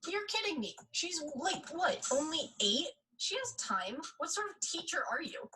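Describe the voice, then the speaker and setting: A woman's voice: extremely high pitch, sing-song, normal speed, sharp tone, recorded from a distance, exaggerated voice, low-quality recording. A woman playing an indignant, blunt teenage girl in a scripted audio drama. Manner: blunt, protesting. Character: indignant teenage girl who looks young for her age.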